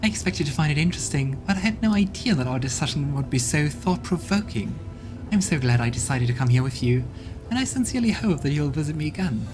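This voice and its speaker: A man voicing a seductive female succubus noble in a video game reading, talking in a musing, softly persuasive way. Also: on the high side, lively intonation, quick pace, lightly acted. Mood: flirtatious. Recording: background game music.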